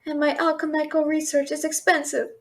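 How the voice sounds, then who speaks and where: A woman playing a brash, fast-talking young female thief and failed alchemist in a fantasy audio roleplay, chattering with sarcastic, exasperated bluster. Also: high voice, flat delivery. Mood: grumbling, wry. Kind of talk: fantasy audio roleplay, addressing an unseen listener.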